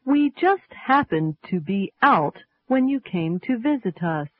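A woman reads English lesson material slowly, her pitch rising and falling in a lively, expressive way. The sound is dry and thin, like radio heard through a narrow, phone-like band. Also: no room sound. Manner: slow, clearly enunciated, lively intonation. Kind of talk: scripted textbook reading.

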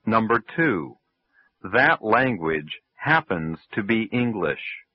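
A man reads an English lesson in a very low, unhurried voice, enunciating every phrase for learners. The sound is dry and close, with the muffled, lo-fi quality of an old radio broadcast. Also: big pitch swings, dry recording. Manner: slow, careful, clearly enunciated. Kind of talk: scripted textbook reading.

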